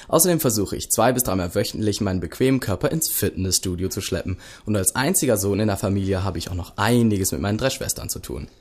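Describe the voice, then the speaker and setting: A man's voice: on the low side, expressive, quick pace, hardly any pauses, darkish tone. A man of twenty-one cheerfully introducing himself as a member of a band. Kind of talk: self-introduction.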